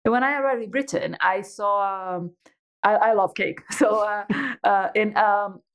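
A woman's voice: medium pitch, a little slow, clean tone. Woman in her 40s, chatty guest, lots of uh and um, stops and starts a lot. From Brazil. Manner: animated storyteller with many restarts and fillers. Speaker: guest entrepreneur. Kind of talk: casual interview chat.

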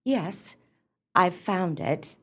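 A woman's voice: on the low side, lively intonation, very slow, exaggerated voice. A woman acting a prim, pedantic and easily scandalized book club lady in a radio play, proper and slightly hesitant. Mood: searching.

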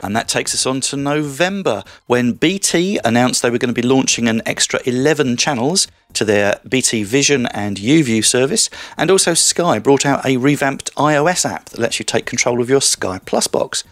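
A man's voice: mid-range voice, lively intonation, normal speed, few pauses, a bit bright, dry recording, clean studio sound, soft background music. A man presenting a tech show year in review, with breezy, teasing banter with his co-host.

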